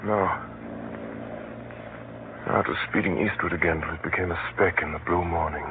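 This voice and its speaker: Male actor as hunted hero-narrator for 1930s radio drama: low, tense, deliberate delivery over a noisy, scratchy broadcast. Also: fairly even intonation, phone-quality sound, close to an everyday voice. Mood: tense, watchful. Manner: dramatic, deliberate, measured pauses. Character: hunted man recounting his flight, tense and grave.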